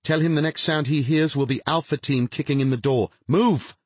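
Commanding female military leader, played by a male narrator, raised pitch, clipped and urgent, audiobook thriller. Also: normal speed, dry recording, lightly acted. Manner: clipped, barking orders.

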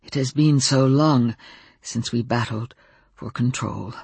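A woman voicing an old male werewolf in an audiobook, speaking slowly and thickly in halting phrases with a Welsh accent. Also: vocal fry, breathy edge, no echo, heavily acted. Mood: controlled, thoughtful. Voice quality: low, hushed, slow and thick. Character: old male werewolf, slow, menacing yet almost shy. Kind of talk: audiobook character dialogue.

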